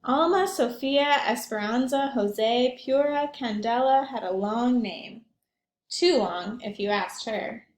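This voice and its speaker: A woman's voice: on the high side, slow pace, slight background noise. A woman school librarian doing a read-aloud for young children, explaining things and putting questions to them. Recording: echoey room.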